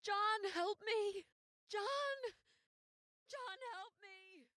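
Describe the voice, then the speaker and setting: Actress as frightened young woman in radio horror, very high voice, slow breathless pleading, melodramatic. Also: steady tone, sharp tone, no echo, studio quality, heavily acted. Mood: desperate, pleading. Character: terrified, pleading young woman facing monsters. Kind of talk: old-time radio horror acting.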